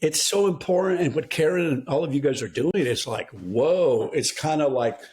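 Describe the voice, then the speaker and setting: A man's voice: on the high side, expressive, moderate pace, darkish tone. Impassioned, blunt American man. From New Mexico, United States. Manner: blunt, exasperated, emphatic. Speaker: guest soil health advocate. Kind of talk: impassioned podcast discussion.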